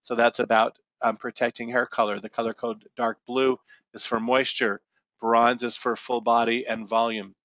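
A man's voice: medium pitch, flat delivery, moderate pace, fairly bright tone, dry recording. A man presenting products in a salon-business webinar, talking conversationally and correcting himself as he explains. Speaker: company presenter. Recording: dull lo-fi webinar audio.

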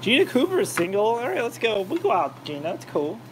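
A man's voice: sits high, wildly swooping pitch, a little fast, a little hiss or hum. A man rambling and joking with his live chat while cooking in his home kitchen. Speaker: cooking vlogger. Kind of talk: casual cooking livestream chat.

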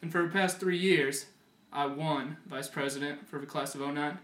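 A man's voice: on the high side, fairly even intonation, moderate pace, a bit bright. A teenage young man giving a humorous self-introduction to a camera indoors. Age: teens. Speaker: high school class officer, pageant contestant. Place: small wood-panelled room, indoors. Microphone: camera a few feet away. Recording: roomy camera sound, faint noise.

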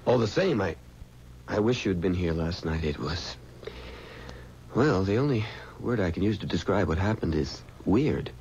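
A young man, an earnest and hesitant mortician, in 1970s radio drama. His lowish voice moves around a lot, on a muffled recording with faint noise. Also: expressive, moderate pace, darkish tone. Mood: troubled. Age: young adult.

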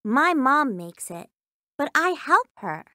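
A cheerful young schoolgirl, acted by a woman, speaks in a high, bright voice with animated intonation. Her textbook sentences are simple and carefully enunciated. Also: slow, no echo, studio quality, exaggerated voice. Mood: pleasant, informative. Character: cheerful young Japanese schoolgirl describing meals. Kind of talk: scripted language-textbook audio reading.

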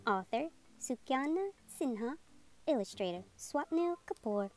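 Expressive woman reading a children's storybook. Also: sits high, unhurried, heavy background noise. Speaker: storybook narrator. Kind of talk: scripted children's read-aloud narration. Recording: music behind the voice. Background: music.

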